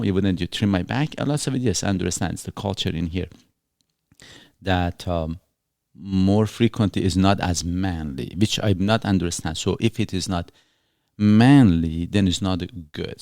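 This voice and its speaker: A man's voice: deep voice, darkish tone, no room sound, clean studio sound. A man from Iran with a Persian accent, hosting a casual chat and asking playful, probing questions. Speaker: host. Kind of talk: casual conversation.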